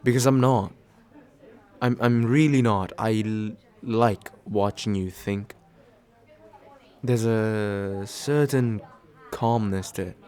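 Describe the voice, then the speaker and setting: A man playing a tender, nervous, attentive date in a soft, intimate ASMR roleplay, halting and gently self-deprecating with small stammers. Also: on the low side. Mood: gentle, concerned. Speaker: voice actor in an audio roleplay.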